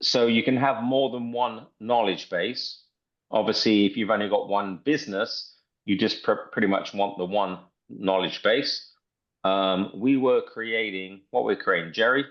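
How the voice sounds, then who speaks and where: A man presenting a software demo in an informal, step-by-step tutorial style. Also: fairly low pitch, fairly even intonation, measured pace, highs cut off. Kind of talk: casual screen-share tutorial walkthrough.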